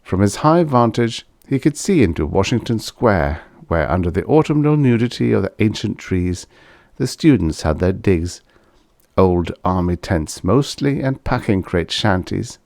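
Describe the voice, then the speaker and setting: A man's voice: fairly low pitch, animated, measured pace, creaky, a little mellow, dry recording, studio quality. A man reading vintage science fiction aloud as an audiobook narrator, in a scripted storytelling style.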